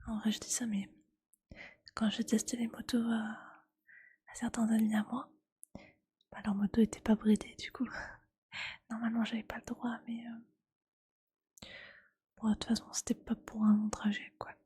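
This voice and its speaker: A woman speaking in a breathy ASMR whisper, chatting casually and with gentle teasing like a streamer talking to viewers. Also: a little high, level tone, measured pace, pauses often.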